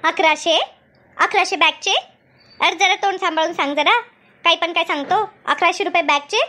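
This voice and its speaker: A woman's voice: extremely high pitch, fairly even intonation, moderate pace, sharp tone. A woman voicing a hard-bargaining village mother in a comedy cartoon, argumentative, firm and chatty. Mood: indignant. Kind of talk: Marathi comedy cartoon dub.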